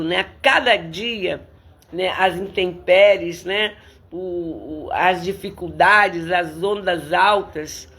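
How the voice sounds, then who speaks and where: A hoarse woman pastor with a Brazilian Portuguese accent, greeting viewers by name and praying fervently in a livestreamed service. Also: lowish voice, a bit bright.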